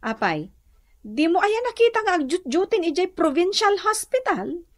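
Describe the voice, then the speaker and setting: A high, very animated village gossip in a radio comedy. She is practical and opinionated, speaking emphatically with a Northern Luzon Filipino accent. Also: normal speed. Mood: gossipy, emphatic. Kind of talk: radio comedy drama acting.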